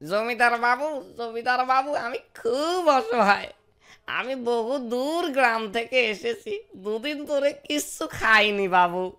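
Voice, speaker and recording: A woman's voice: a little high, unhurried, fairly bright tone, clear, resonant tone. A woman voicing a sly village thief in a cartoon, falsely humble and exaggerated in manner. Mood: pleading, fawning. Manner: exaggerated, muttering schemes and fawning pleas. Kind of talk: cartoon character acting.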